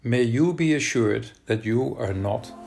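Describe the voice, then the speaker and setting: A male minister with a Dutch accent leads prayer and scripture reading. His delivery is calm and measured, in the steady cadence of liturgy. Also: mid-range voice, creaky, over music. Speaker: minister leading worship. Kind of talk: online worship service, prayer and scripture reading.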